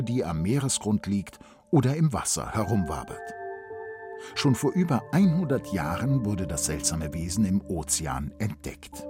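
A man reading scripted riddle narration for children. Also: fairly low pitch. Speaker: quiz narrator. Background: music under the voice.

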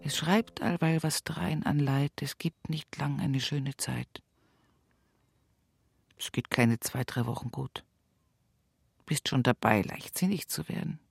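Low, unhurried older widow. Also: female voice, steady tone, vocal fry, slightly rough, no room sound, exaggerated voice. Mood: burdened, confiding. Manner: confiding, musing, earthy, often dropping to a hushed murmur. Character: older rural Bavarian widow talking at her dying mother's bedside. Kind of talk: intimate radio play monologue. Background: music behind the voice.

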